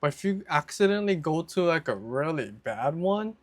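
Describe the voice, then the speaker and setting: A man's voice: fairly high pitch, big pitch swings, moderate pace, no room sound. Casual young American man, very dry sound. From Hawaii, United States. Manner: informal, conversational, mild slang. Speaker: vlogger presenting a list of favourite foods. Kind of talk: casual talk to camera.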